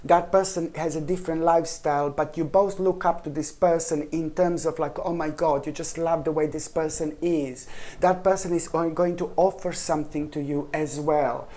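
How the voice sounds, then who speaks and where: Tarot reader, casual reading to camera, chatty, rambling, restarts sentences, mid-pitched voice. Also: steady tone, normal speed, warm tone. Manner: repeatedly addresses viewers with a pet name.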